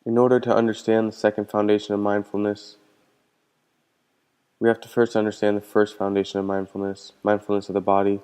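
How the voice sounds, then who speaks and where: A man leading a guided meditation as a teacher, speaking in a calm, gentle, unhurried way with pauses. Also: lowish voice, clean tone. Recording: long hall-like reverb.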